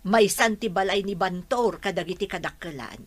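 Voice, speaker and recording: A woman's voice: mid-range voice, moderate pace, lightly acted. A woman performs a caring mother in a radio drama. She has a mid-range voice and an Ilocano accent. Her delivery is concerned, coaxing and reassuring. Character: caring, worried mother counselling her grown daughter.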